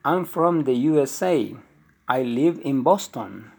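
Man reading a teen girl in a workbook dialogue, creaky, lots of pitch movement. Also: lively intonation, slow, a little mellow, exaggerated voice. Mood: friendly. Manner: plain textbook dialogue reading. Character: teenage American girl student.

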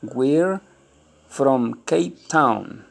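A man reading an English textbook aloud slowly and deliberately, speaking every heading and example. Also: mid-range voice, animated, vocal fry. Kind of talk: slow textbook read-aloud.

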